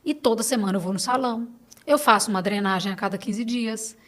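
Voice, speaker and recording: A woman with a fairly high voice and a Brazilian Portuguese accent speaks as a guest in a casual interview. She is emphatic and often repeats a phrase to press her point. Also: brisk, a bit bright. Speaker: podcast guest.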